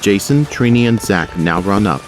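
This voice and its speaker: A man's voice: fairly low pitch, lively intonation, moderate pace, faint music behind. A man narrating a scripted audio drama.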